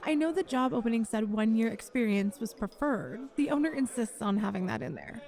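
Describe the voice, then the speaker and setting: A woman playing a kind, flirty female bar manager in an ASMR roleplay, gently reassuring and encouraging a nervous job applicant. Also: a little high, animated, fairly quick. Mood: encouraging, upbeat. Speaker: voice actor in an audio roleplay. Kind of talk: ASMR roleplay, one-sided conversation.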